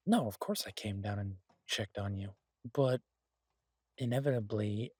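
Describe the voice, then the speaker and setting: A man playing an easygoing, affectionate chef in an intimate boyfriend roleplay audio, talking in a husky, halting, teasing way to a partner. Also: fairly low pitch, a little mellow, no room sound. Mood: tender, teasing. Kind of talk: intimate boyfriend roleplay audio, casual one-sided chat.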